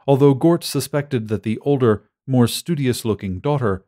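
A man's voice: medium pitch, normal speed, dark tone. A man reading a scripted short story as an audiobook narrator.

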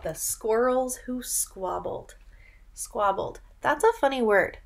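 Young woman reading slowly to children. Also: a little high, vocal fry. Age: young adult. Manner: animated storytelling, deliberate enunciation, audible gasps. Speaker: speech and language teacher reading aloud. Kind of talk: expressive children's picture-book read-aloud with questions. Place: small furnished room at home, indoors.

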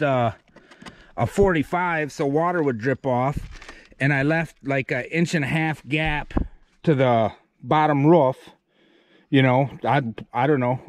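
A man, chatting casually in a folksy, self-deprecating, rambling way as he shows his building work. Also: a little high. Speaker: homesteader vlogging his barn build. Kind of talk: casual walk-through chat. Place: outdoors at a rural building site and inside the wooden building.

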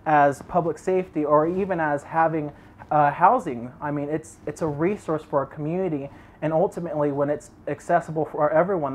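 Middle-aged male, earnest testimonial delivery, explanatory and even-handed. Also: fairly high pitch. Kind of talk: prepared on-camera testimonial. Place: inside an empty streetcar.

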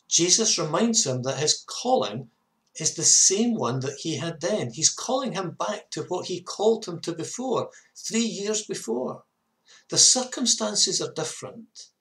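A man in his fifties, a minister giving a measured, earnest reflective sermon to camera in a small study. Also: a little high, very animated, fairly bright tone. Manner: measured, earnest, with short repeated phrases. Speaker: parish minister. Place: small home study, indoors.

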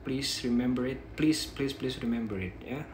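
A man's voice: mid-range voice, a little hiss or hum. A young man with an Indonesian accent teaches a live dental demo from a small bedroom, a phone held close. His throat is a little sore, and he talks in restarts, tagging lines with "yeah". Faint room ambience sits behind him. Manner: halting, frequent "yeah" tags and restarts. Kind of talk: live-stream teaching, reading viewer questions. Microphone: phone held close at arm's length.

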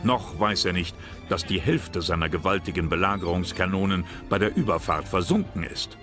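Man, low pitch, scripted history narration, documentary narrator, music underneath. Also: moderate pace. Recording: music behind the voice.

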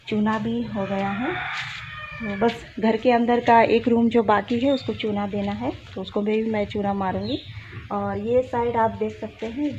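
Slow-talking young village vlogger woman. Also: medium pitch. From Jharkhand, India. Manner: chatty, showing chores while walking and working. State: tired from a day of physical work. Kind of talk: casual vlog narration to camera. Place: outdoors in a village yard. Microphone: phone camera at arm's length. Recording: dull lo-fi phone audio with clear outdoor noise.

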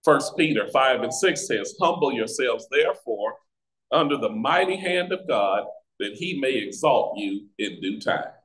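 An American man teaching a Bible study as a pastor, with deliberate, emphatic phrasing and pauses, over a video call. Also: mid-range voice, expressive, fairly bright tone, low-quality recording. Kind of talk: Bible teaching with scripture reading. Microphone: video call microphone.

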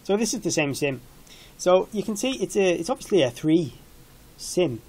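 A man's voice: sits high, sing-song, normal speed, a little mellow, husky. A man in his thirties giving an informal tech product walkthrough in a matter-of-fact, explanatory way. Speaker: product demonstrator.

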